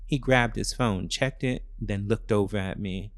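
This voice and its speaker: A man narrating a scripted first-person thriller story in short, deliberate, measured phrases. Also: on the low side.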